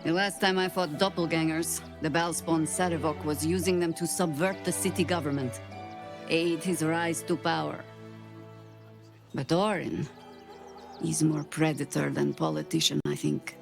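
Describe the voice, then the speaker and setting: Low, creaky, shrewd woman adventurer. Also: fairly even intonation, normal speed, music in the background. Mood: wary, calculating. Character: wary, shrewd adventuring woman, coolly strategic. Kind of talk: video game character acting.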